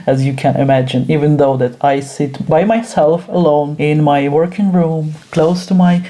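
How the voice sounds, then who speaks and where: A woman's voice: sits low, fairly even intonation, dark tone. A woman whose first language is Russian speaks English with a Russian accent, talking nervously and hesitantly in a personal vlog from her work room at home. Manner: nervous, hesitant, frequent pauses. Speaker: esoteric teacher.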